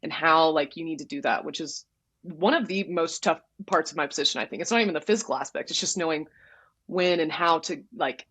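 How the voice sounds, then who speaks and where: A young woman from Texas, a college goalkeeper telling stories in a loud, direct, animated way during a casual interview. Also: sits low, fairly quick, breathy edge. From Dallas, Texas. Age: young adult. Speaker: soccer goalkeeper, guest.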